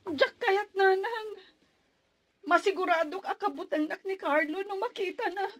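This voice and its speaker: A woman's voice: very high voice, normal speed, a little hiss or hum. An actress with a Filipino accent playing a despairing, withdrawn young woman, plaintive, in a radio drama.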